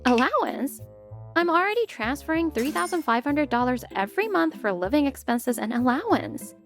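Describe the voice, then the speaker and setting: A polite, put-upon stepmother played by a woman narrates her troubles in a manga dub. Her voice sits fairly high and expressive, with soft music underneath. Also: moderate pace. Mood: surprised. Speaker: narrator and lead character. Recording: music bed behind the voice.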